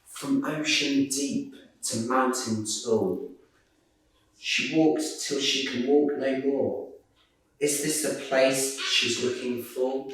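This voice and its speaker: A man reading a rhyming children's picture book aloud in a measured, sing-song way. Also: medium pitch, fairly even intonation, a bit bright, a little hiss or hum. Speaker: storybook reader. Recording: roomy echo, distant microphone.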